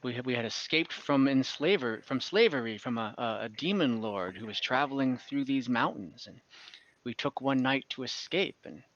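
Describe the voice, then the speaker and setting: A man playing a wary old kobold survivor who speaks in a halting, deliberate, questioning way. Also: mid-range voice, lively intonation, fairly bright tone, dull audio. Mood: solemn, reminiscing.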